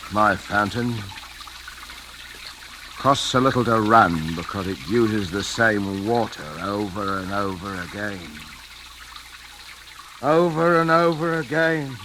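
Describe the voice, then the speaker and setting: An actor playing a genteel, sentimental middle-aged father with a guilty secret, hesitant and repeating himself in a 1940s radio drama style. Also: on the low side. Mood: brooding. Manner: hesitant, murmuring, repeats his words. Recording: noisy old 1940s radio recording.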